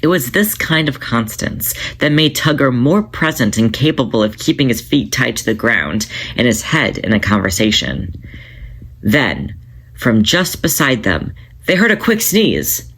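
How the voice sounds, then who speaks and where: A man narrating his own fan fiction as an audiobook, in a steady, measured reading. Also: medium pitch, husky. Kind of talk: podfic story narration.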